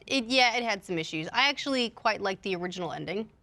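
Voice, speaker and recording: A woman's voice: mid-range voice, expressive, quick pace, sharp tone. A woman talking informally and explaining her views as a panelist on a casual discussion show. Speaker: panelist on a video game and movie discussion show. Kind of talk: casual chat.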